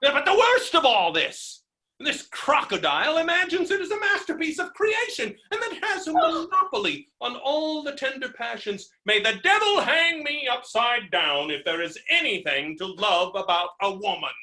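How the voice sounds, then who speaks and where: A man's voice: very high-pitched, very animated, normal speed, pushed and bright, exaggerated voice. A man playing a blustering, boorish, melodramatic fellow who rails against women in a theatrical, mocking way, in a stage comedy reading. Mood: furious ranting.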